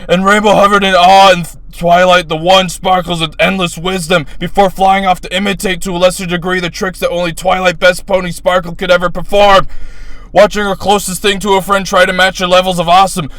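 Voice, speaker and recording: A man giving a bombastic, grandiose dramatic reading of a comic fan story in a strained, loud delivery. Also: sits high, flat delivery, fairly quick, dry recording. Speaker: fan fiction reader.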